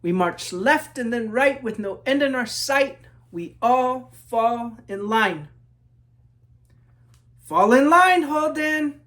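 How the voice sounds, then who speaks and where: A man from New Mexico reading his children's book aloud in measured rhythm, as a storyteller talking to young listeners. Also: very high-pitched, a bit bright. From New Mexico, United States.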